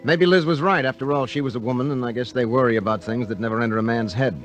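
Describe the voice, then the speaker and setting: A man's voice: mid-range voice, fast talker, soft background music, natural voice. An American man playing a moody newlywed diver in a 1950s radio drama, shifting from easygoing to grouchy. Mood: reflective narration. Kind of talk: 1950s radio drama acting with first-person narration. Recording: vintage 1950 radio broadcast.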